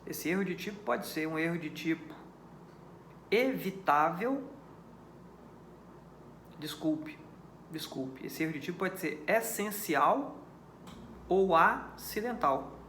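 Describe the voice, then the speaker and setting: A high-pitched Brazilian man teaching a law class in a didactic way, repeating his key points and stopping often to ask whether listeners understand. His voice carries the echo of a reverberant room with faint background ambience. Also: animated, slow pace, pauses often, fairly bright tone, slight background noise. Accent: Brazilian Portuguese. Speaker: law lecturer. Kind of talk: recorded lecture on criminal law.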